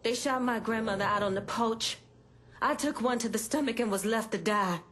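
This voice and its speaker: A woman's voice: on the high side, moderate pace, fairly bright tone, natural voice. Woman acting hardened, sombre grieving mother. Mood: pained recounting. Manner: terse, unyielding, sombre. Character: hardened, grieving mother turned fierce protector. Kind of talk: dramatic game cutscene acting. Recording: faint background noise and ambience.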